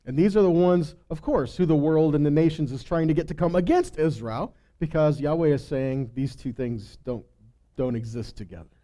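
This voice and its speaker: Mellow higher-pitched man teaching Bible study. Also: normal speed. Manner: conversational, frequently checks in with listeners.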